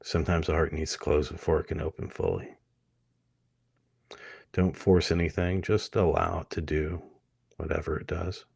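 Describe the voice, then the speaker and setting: A man leading a guided hypnosis meditation, speaking gently and soothingly in short phrases with many pauses. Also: very deep voice, steady tone, creaky, slightly rough, dry recording, clean studio sound.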